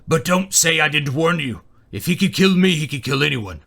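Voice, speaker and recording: A man voicing a proud, gruff, wounded hunter in a fantasy story, blunt and grudging. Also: fairly high pitch, animated, moderate pace, sharp tone, pitched above the performer's own voice, exaggerated voice. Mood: grim, warning.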